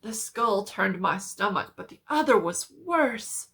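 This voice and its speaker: A woman's voice: medium pitch, expressive, a little slow, gravelly, fairly bright tone. A woman voicing a horrified male country doctor who urgently berates his patient in a dramatic horror story reading. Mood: appalled, agitated. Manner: agitated, emphatic, exclaiming.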